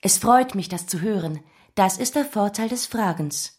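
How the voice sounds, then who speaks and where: A woman's voice: mid-range voice, lively intonation, moderate pace, a little mellow, close to an everyday voice. An actress in a German radio drama playing a sardonic lady's companion of about thirty who feigns meek ignorance and asks insinuating questions. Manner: insinuating, mock-innocent questioning.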